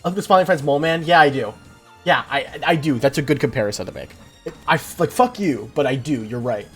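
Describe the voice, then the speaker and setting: A man's voice: on the high side, animated, quick pace, fairly bright tone, soft background music. A young adult man livestreaming in a casual, slangy, profane and self-deprecating way while he chats with viewers. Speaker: livestreamer, host.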